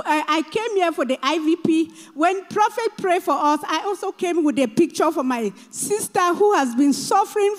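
Woman from cameroon, high voice, earnest testimony, kinda hesitant with lots of ums, echoey church hall. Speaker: church member giving testimony. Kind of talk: personal testimony.

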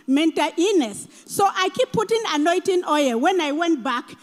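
A woman from Cameroon with a high voice tells her testimony earnestly, pausing with small fillers as she goes. Her voice rings out with a long hall echo behind it. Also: lively intonation. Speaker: church member giving testimony. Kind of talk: personal testimony.

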